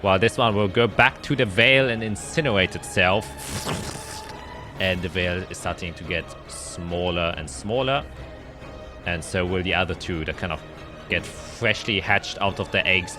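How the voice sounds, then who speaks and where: A man's voice: sits low, moderate pace, over music. A man narrating a tabletop roleplaying game as game master, describing scenes and calling out dice results.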